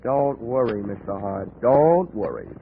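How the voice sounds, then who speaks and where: An actor playing a wry, hardboiled American insurance investigator in the style of 1940s radio detective drama. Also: male voice, mid-range voice, expressive, a little slow. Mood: dry, businesslike. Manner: wry, wisecracking. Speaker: detective narrator and lead character. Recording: tinny old radio broadcast, music behind.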